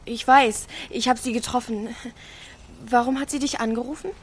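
A woman's voice: a little high, fairly even intonation, normal speed, slight background noise, natural voice. A woman playing a defiant, cheeky teenage girl in a radio drama, with curt delivery. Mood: guarded.

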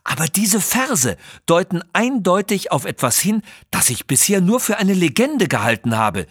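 A man voicing a nervous, excitable museum scholar who talks in a breathless, flustered gush for an audio story. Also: high-pitched, normal speed, breathy edge, no echo, clean studio sound, voice pushed up, exaggerated voice. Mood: eager.

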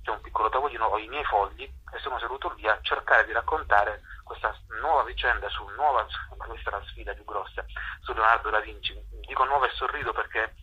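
A man's voice: fairly low pitch, a little fast, husky. A man being interviewed as a guest playwright and actor, talking reflectively and searching for words, often repeating himself. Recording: dull lo-fi phone or radio line.

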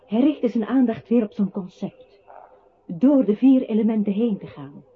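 A woman acting as a narrator in a literary radio drama, with measured storytelling. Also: fairly high pitch, lightly acted. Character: woman narrator telling a brooding man's story. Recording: thin phone-like sound with clear noise.

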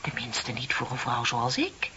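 An actress playing a heartbroken, ashamed young woman confessing in a radio play, dramatic and drifting into breathy near-whispers. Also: extremely low pitch, very animated, unhurried, pushed and bright, exaggerated voice. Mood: bitter, anguished. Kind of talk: Dutch radio play acting. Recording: muffled radio play recording with tape hiss.

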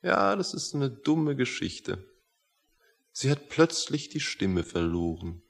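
An actor in a radio drama playing a sinister, needling man, unnervingly calm and mock-polite, with a husky, creaky voice and insistent manner. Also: medium pitch, takes it slowly, full character voice. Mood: smug, feigned innocence.

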